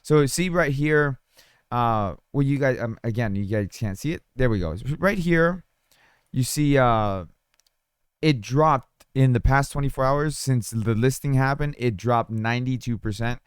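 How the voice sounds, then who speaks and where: A man with a slightly hoarse, sore throat talking casually over a screen share, rambling with fillers and restarts. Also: mid-range voice, expressive, a little slow, no room sound, studio quality. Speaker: crypto mining YouTuber. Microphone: studio desk mic.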